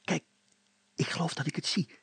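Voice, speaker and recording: A man voicing an eager, excitable young man in a story, urgent and insistent in the way he speaks. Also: normal speed, dry recording, close to an everyday voice. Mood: hushed excitement.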